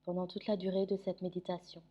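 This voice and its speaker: A woman guiding a sleep meditation in French, giving soothing, gentle instructions with long pauses. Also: on the low side, monotone, muffled recording. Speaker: yoga nidra meditation guide.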